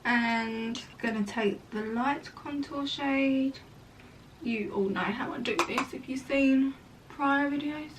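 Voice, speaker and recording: A young woman chats her way through a makeup tutorial, wandering into casual asides as she works. Her voice sits high and sounds a touch croaky. She is recorded at home from a little way off the mic, with a faint hiss underneath. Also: slow, recorded from a distance. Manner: chatty, rambling asides. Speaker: makeup vlogger. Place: room at home.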